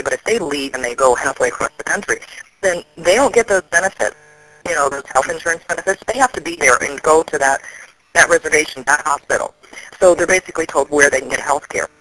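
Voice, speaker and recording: A man, an activist, explaining things in a serious phone conversation, often halting and restarting. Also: a little high, sharp tone, no room sound, rough recording.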